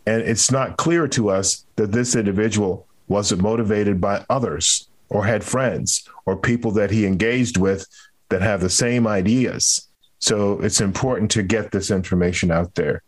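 A man hosting an interview podcast, speaking in a measured, deliberate way. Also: lowish voice. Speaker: podcast host. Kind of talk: interview and commentary on race.

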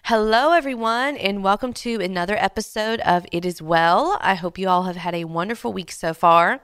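A woman in her late twenties hosting a devotional podcast, speaking gently and earnestly with soft pauses, her voice a little stuffy from a cold. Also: medium pitch, smooth. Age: late 20s. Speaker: podcast host. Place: Houston, Texas.

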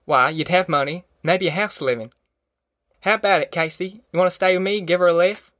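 A man acts out a practical young country man, direct and persuasive. He has a rural Southern American accent and a fairly high voice. The audiobook sound is dry. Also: a little fast, a bit bright, no echo. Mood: persuading. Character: plain-spoken young farm man, practical grown son. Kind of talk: audiobook character acting.

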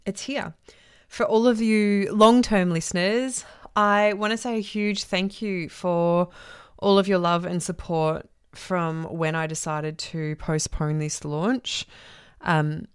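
A woman hosting her own podcast as a transformation coach, talking alone in an effusive way, often repeating herself for emphasis, with a voice gone husky from a week-long cough. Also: lowish voice, steady tone, takes it slowly, dry recording, studio quality. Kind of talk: solo podcast monologue.

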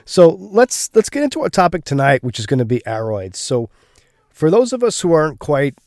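A man hosting a podcast, his voice a little gravelly from being unwell, chatting casually with many fillers. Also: on the high side, very animated, normal speed, darkish tone, dry recording, clean studio sound. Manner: frequent fillers and restarts, friendly. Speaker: podcast host.

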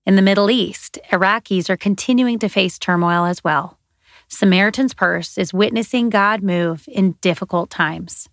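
A woman hosts a faith podcast, her voice gravelly with a little vocal fry. She reads her script earnestly and steadily, close and dry with no room sound. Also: medium pitch, moderate pace, dry recording. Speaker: podcast host.

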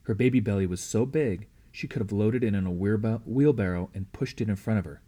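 A man's voice: fairly low pitch, fairly quick, warm tone, slightly rough. A man reading a story aloud as scripted narration. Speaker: audiobook reader.

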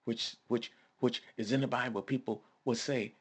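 A man's voice: medium pitch, fairly even intonation, moderate pace, husky. A man preaching a sermon in a conversational, emphatic way, repeating phrases and calling on listeners to respond.

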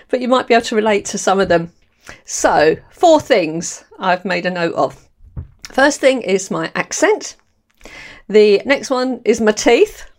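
An older woman with a London accent hosting her podcast, talking candidly with frequent laughs. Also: fairly high pitch. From London, England. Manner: candid, animated, laughs often. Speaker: podcast host. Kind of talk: personal monologue.

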